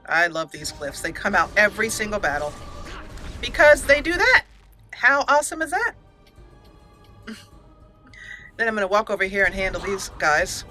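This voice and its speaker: A woman's voice: mid-range voice, big pitch swings, moderate pace, pauses often, sharp tone. A woman hosting a let's play, chatting casually and self-mockingly as she plays, often giggling. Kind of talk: casual gameplay commentary. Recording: faint game music behind. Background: game music.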